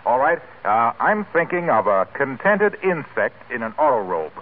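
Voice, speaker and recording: A man's voice: medium pitch, sing-song, moderate pace. An actor playing a jovial, easygoing male guest in 1940s radio comedy style. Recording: tinny old radio recording.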